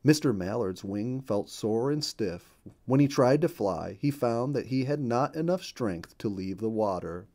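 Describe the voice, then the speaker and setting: A man narrating a children's story as a storyteller, with emphatic, deliberate phrasing. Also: medium pitch, animated, mellow.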